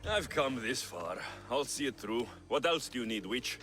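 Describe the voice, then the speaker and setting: Game mercenary male actor: mid-pitched, animated inflection, skeptical, sardonic, music underneath. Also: moderate pace, lightly acted. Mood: wry, resigned. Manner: dry, sardonic. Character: wry, skeptical male mercenary warrior for hire. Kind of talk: dramatic video game acting.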